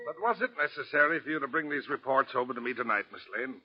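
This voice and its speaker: An actor playing a crime boss who poses as a respectable citizen, polite and menacing, in 1940s radio style. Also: male voice, medium pitch, quick pace, slightly rough, soft background music, close to an everyday voice. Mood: flustered, evasive. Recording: dull lo-fi old radio recording.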